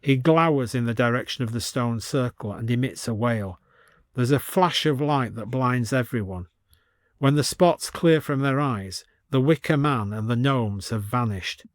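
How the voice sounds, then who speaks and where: A man's voice: mid-range voice, moderate pace, no room sound, studio quality. A man reading scripted comic fiction as an audiobook narrator.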